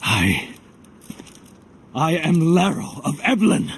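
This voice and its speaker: Cutscene role: doomed soldier, resigned and solemn, gravelly, slow delivery. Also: male voice, a little high, sing-song, full character voice. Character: weary, resigned male soldier facing death, sincere. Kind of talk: video game cutscene acting.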